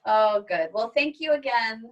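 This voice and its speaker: A woman with a slightly high voice talks casually as a teacher leading an online class. She sounds set back from the microphone on a video call. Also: expressive, a little slow, distant mic, muffled recording. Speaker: teacher hosting an online read-aloud.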